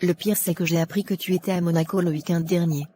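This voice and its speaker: A woman playing a sensible, frank colleague who lends money in an animated moral tale. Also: on the low side, fast, a little hiss or hum, lightly acted. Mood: indignant.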